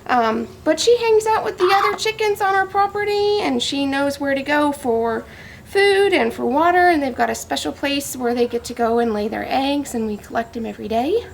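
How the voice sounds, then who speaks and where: A woman's voice: sits high, animated, normal speed, gravelly, a little hiss or hum. A woman speaking to young children in simple, teacherly language with questions, as in a storybook read-aloud or farm show-and-tell.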